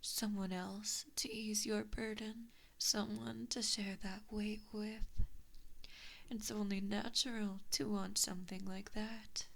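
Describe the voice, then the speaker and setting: A woman voicing an evil, possessive giant fox spirit in a slow ASMR roleplay, whispering softly and breathily with mockingly sweet menace, close to a binaural mic. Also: mid-range voice, flat delivery, gravelly, exaggerated voice. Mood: coaxing, smug. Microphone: close binaural microphone.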